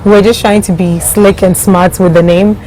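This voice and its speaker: A woman's voice: mid-range voice, fairly even intonation, normal speed, warm tone, a little hiss or hum, poor audio. A woman from Ghana who grew up partly in Germany, talking casually as an interview guest in an anecdotal, conversational way. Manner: conversational, anecdotal, quoting others in her telling. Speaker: startup CEO guest.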